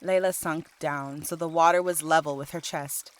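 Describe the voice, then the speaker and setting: Lower-pitched woman narrating a story. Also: fairly even intonation, normal speed. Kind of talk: scripted audiobook narration.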